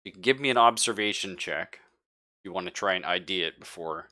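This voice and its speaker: A man's voice: deep voice, brisk, bright, forceful tone. A man narrating a tabletop roleplaying game as game master, casual and joking as he improvises.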